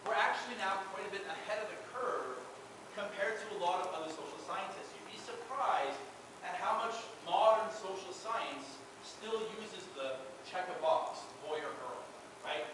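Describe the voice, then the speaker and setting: Male presenter with a fairly high voice and chatty lecture delivery, recorded from far off in a noisy hall. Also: expressive, moderate pace. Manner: chatty, many filler "uh"s and "right?" checks. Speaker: researcher presenting at a convention panel. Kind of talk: conversational lecture. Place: large convention panel room.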